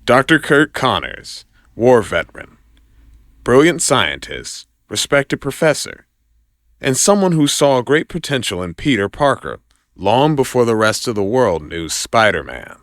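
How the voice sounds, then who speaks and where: A man's voice: mid-range voice, sing-song, unhurried, fairly bright tone, dry recording, clean studio sound. A man narrating a scripted audio comic story in a dramatic storytelling style.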